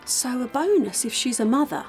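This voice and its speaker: A woman playing a fawning, genteel eighteenth-century matron, coy and flattering, in an audio drama. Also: high voice, normal speed, faint music behind. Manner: gushing, genteel. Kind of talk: period audio drama acting.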